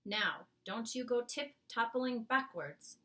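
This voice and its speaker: Woman voicing a gentle storybook giant with folksy speech. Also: on the high side, lively intonation, takes it slowly, recorded from a distance, pitched above the performer's own voice, exaggerated voice. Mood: careful, protective. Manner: folksy, ungrammatical phrasing. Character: gentle giant man with quaint mangled grammar, cautious. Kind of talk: children's storybook character read aloud.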